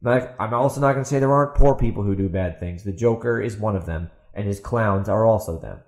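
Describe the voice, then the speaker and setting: Echoey low-voiced man on a sarcastic rant. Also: fast talker, a little mellow. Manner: sarcastic, emphatic, repeats himself for stress. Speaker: podcast host and author. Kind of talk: opinionated rant commentary.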